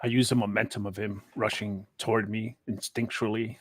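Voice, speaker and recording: Husky male tabletop player. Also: lowish voice, level tone, fairly quick, no room sound. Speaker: player in a tabletop roleplaying game. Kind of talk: casual in-character roleplay.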